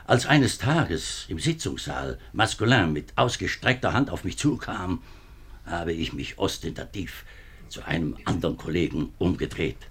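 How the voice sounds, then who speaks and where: Husky, low-voiced embattled government minister. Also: male voice, expressive, moderate pace. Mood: earnest, indignant. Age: middle-aged. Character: embattled, upright French government minister and father. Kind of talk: 1950s German radio drama acting. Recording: 1950s radio play recording.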